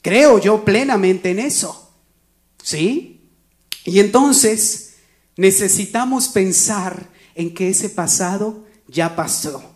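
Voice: mid-range voice, slow, a little mellow. A preacher with a Colombian accent gives a rambling, conversational sermon and keeps checking in with the listeners.

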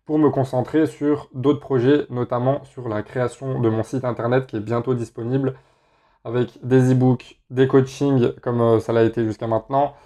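A young man from France hosting a casual solo podcast, talking in a relaxed way with lots of hesitations. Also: medium pitch. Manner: relaxed, many filler hesitations. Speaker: podcast host.

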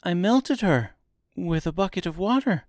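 A man's voice: sits high, expressive, normal speed, a little mellow, dry recording, clean studio sound, voice pushed up. A man voicing an earnest, bold young girl in a storybook tale.

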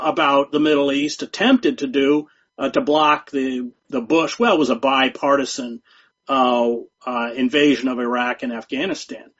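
Man, husky voice, fairly high, measured and emphatic, dull lo-fi sound. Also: a bit bright. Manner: measured, emphatic, repeats words. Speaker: guest journalist. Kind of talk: interview.

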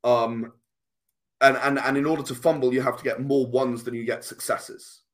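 A man's voice: mid-range voice, little pitch movement, normal speed. A British man explaining things conversationally as an interview guest, earnest, often restarting and interrupting himself mid-sentence. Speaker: guest, tabletop RPG and comic creator.

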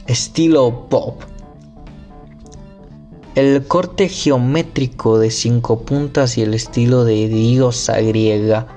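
A man slowly reading a nonfiction book aloud, careful and deliberate, now and then stumbling over a word and starting it again. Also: medium pitch, darkish tone. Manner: slow, careful reading with occasional slips and restarts. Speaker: amateur reader.

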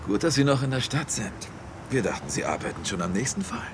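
Actor as a fast, curt government agent. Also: male voice, medium pitch, very animated, noisy background, natural voice. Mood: guarded. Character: brisk, impatient government agent. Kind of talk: German screen dubbing.

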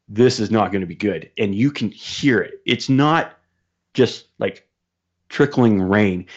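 A man as a podcast guest, telling stories casually in a colloquial, vivid and emphatic way over muffled livestream audio. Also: lowish voice. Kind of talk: casual storytelling chat.

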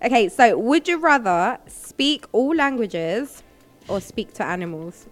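A woman hosting a casual interview, informal and slangy, with animated reactions. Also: fairly high pitch, slow pace, faint music behind. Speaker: interviewer and show host.

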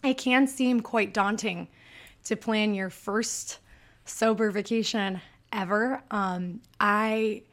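A woman's voice: mid-range voice, fairly even intonation, slow. A woman with a Michigan accent hosting a solo podcast, talking in a bubbly, chatty and upbeat way. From Michigan, USA. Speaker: podcast host.

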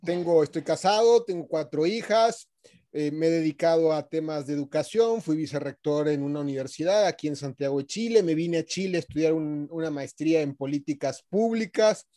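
A man's voice: fairly high pitch, brisk, dark tone. A man in his forties with a Mexican accent, a guest answering interview questions earnestly and fluently. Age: 40s.

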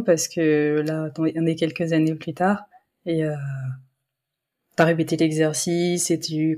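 A woman hosting a podcast interview, warmly encouraging her guest with praise. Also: sits low. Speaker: podcast host. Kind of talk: casual interview talk.

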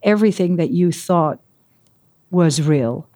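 A middle-aged woman from the Netherlands speaks English with a Dutch accent in an office interview. Her voice sits very low for a woman, and she talks in a lively, open way, now and then pausing to find an English word. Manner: lively, searching for English words. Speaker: podcast guest. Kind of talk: casual interview conversation.

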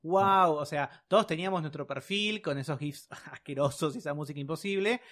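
A man's voice: on the high side, a little fast, a bit bright. A man in his thirties with a Rioplatense Argentine accent, hosting a casual, chatty interview in an informal, digressive way. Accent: Rioplatense Argentine Spanish. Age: 30s. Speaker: podcast host.

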